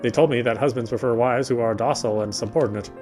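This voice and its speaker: A man's voice: medium pitch, fast talker, a little mellow, soft background music. A man reading a visual novel's narration aloud as a let's player. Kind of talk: read-aloud narration of a game script.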